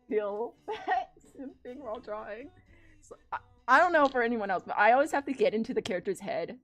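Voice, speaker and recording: Animated woman chatting on a livestream over music. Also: medium pitch. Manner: animated, gushing, swears casually. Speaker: streamer and artist drawing live. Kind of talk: casual livestream chat.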